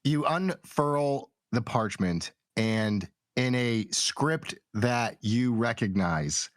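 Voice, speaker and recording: A man's voice: mid-range voice, lively intonation, extremely slow, dry recording, clean studio sound. A man acting as game master, narrating and refereeing a tabletop role-playing game.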